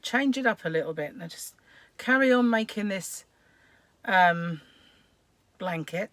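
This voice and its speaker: A woman hosting a crochet livestream, chatting casually and greeting viewers by name, her voice croaky today with frequent throat clearing. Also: medium pitch, animated, a little slow, no room sound. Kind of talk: casual live-stream chat while crocheting.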